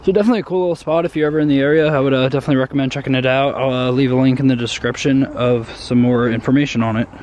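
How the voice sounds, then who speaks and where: A young man in his twenties vlogging from a wooded trail, later from his apartment, on a handheld phone. He talks in an easygoing, unsure way and fills his pauses with "uh". His voice sits in an ordinary middle register, with faint background noise. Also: medium pitch. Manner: relaxed, uncertain, many fillers. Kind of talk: casual vlog walkthrough. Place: wooded trail outdoors, then apartment living room.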